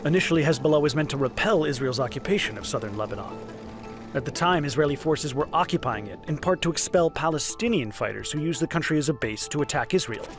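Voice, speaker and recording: Guy doing news explainer voiceover, voice a bit high, music behind him. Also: lively intonation, fast. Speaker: news correspondent narrator. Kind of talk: scripted news explainer narration.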